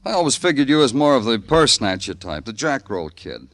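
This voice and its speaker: An actor playing a world-weary, sardonic police detective in an old radio noir drama, terse and brooding, with dramatic delivery. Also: male voice, mid-range voice, big pitch swings, fairly quick, a bit bright, no echo. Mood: sardonic, probing. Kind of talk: 1950s radio noir narration and acting.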